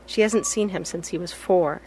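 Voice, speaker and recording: A woman reporter narrating a radio news story in a calm, steady storytelling manner. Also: fairly low pitch, normal speed, noisy background.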